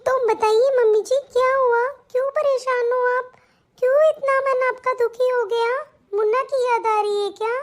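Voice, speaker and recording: A woman plays a young daughter-in-law in a family cartoon. Her voice is tiny and extremely high-pitched. She comes across as sweet, dutiful and earnest, always deferring to her elders. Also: fairly even intonation, normal speed. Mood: worried. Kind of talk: melodramatic family cartoon dialogue.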